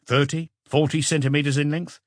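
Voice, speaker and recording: A man's voice: on the high side, little pitch movement, fairly quick, no echo, studio quality, voice pushed up, lightly acted. A man voicing a methodical male police questioner in a detective audiobook, terse and probing.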